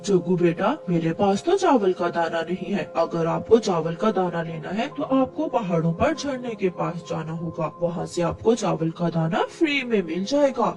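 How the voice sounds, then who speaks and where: Woman putting on a kindly, lower-pitched bird uncle. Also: lively intonation, moderate pace, hardly any pauses. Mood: helpful. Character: kindly adult male bird uncle, helpful shopkeeper. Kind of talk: cartoon story acting. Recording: distant mic with music behind.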